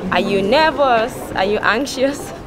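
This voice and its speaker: A woman's voice: a little high, measured pace. A woman from Nigeria, talking to her subscribers in a friendly, chatty way and then asking passers-by how they feel. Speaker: vlogger and medical resident. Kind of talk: direct-to-camera vlog intro, then street interviews.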